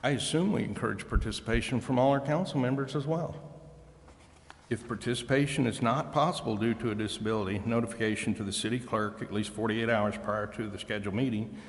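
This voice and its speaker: A man delivering a deliberate, formal statement as a city council member. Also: mid-range voice, a little hiss or hum. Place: council chamber. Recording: reverberant council chamber.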